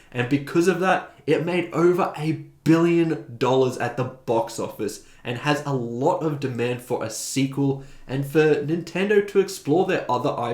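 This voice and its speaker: A man's voice: medium pitch, darkish tone. A man hosting a video essay, giving opinionated, conversational commentary. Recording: roomy, echoing voice recording.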